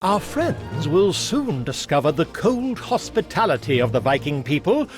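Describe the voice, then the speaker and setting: A man's voice: on the high side, big pitch swings, normal speed. A man playing the wry, grand storybook narrator of a comic adventure game, with a theatrical delivery. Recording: music behind the voice.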